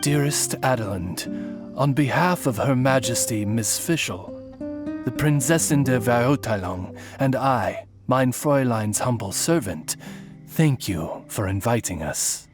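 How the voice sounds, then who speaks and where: A man plays a talking raven who serves as a butler in a fantasy game. His mid-pitched voice is ceremonious and flattering, full of ornate phrases and German titles, with music clearly behind it. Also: unhurried, close to an everyday voice. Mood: deferential, ceremonious. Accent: German words sprinkled into English. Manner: ornate, deferential, butler-like formality. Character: courtly male talking raven servant, formal and flattering. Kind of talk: anime-style video game voice acting.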